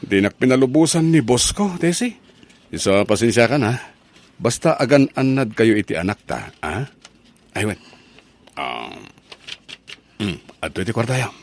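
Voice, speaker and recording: A man's voice: medium pitch, sing-song, normal speed, long pauses. A mild, easygoing young husband in a radio drama. He speaks in an understated, soothing and placating way. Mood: reassuring. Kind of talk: Ilocano radio drama acting.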